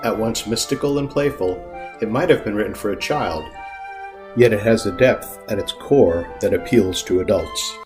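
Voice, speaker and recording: A man reading scripted podcast announcements in a formal, measured way. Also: lowish voice. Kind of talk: scripted narration. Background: music.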